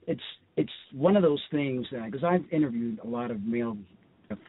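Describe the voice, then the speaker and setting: A man's voice: medium pitch, lively intonation, normal speed, breathy edge, dry recording. A man hosting a radio show and interviewing casually. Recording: muffled, thin phone-like radio audio.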